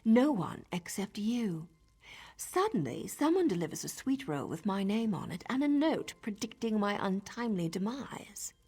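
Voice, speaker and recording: A husky, sardonic elf assassin. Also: female voice, mid-range voice, sing-song, normal speed. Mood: hushed, suspicious. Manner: dry, confident, often hushed and whispery. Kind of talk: video game dialogue.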